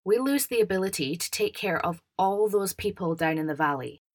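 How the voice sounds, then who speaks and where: A woman's voice: mid-range voice, lively intonation, fairly quick, natural voice. A woman playing an overbearing, self-important elder brother with a preachy, over-hearty manner in a fan audio drama.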